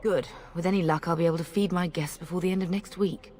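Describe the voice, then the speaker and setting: An actress voicing a brisk, refined noble mother in a fantasy video game, wry and commanding. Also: on the low side, steady tone, a little mellow, close to an everyday voice.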